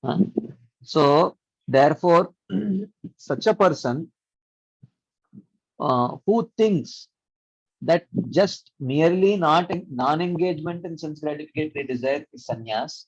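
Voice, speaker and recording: A man's voice: fairly high pitch, expressive, slow pace, pauses often, husky, no echo. Man teaching scripture over dull audio. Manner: explanatory, chanting verses, filler-heavy. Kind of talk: online scripture discussion. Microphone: computer mic on a video call. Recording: dry, dull lo-fi online audio.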